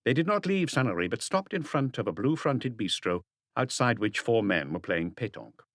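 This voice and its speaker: A man's voice: mid-range voice, big pitch swings, moderate pace, a bit bright, no room sound, clean studio sound. A man reading a mystery novel aloud as a scripted audiobook narration.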